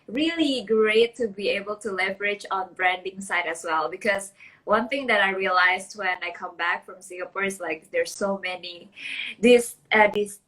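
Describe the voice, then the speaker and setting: A woman's voice: mid-range voice, normal speed, fairly bright tone, poor audio. A woman hosting an interview over a video call, searching for words with frequent restarts and fillers. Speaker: host. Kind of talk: casual interview chat.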